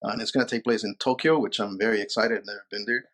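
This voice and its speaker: Brief: lawyer guest from Peru, low-pitched man, thoughtful and halting, lots of "uh" and restarts. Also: steady tone, fairly bright tone. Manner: thoughtful, many fillers and repeated words. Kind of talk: casual interview answers.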